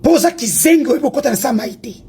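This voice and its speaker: A woman from Congo speaks in a high, pointed voice. She is heated and confrontational, taunting her target as she mixes in a second language. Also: lively intonation, quick pace, dark tone, slight background noise. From Congo (DRC). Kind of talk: heated online rant.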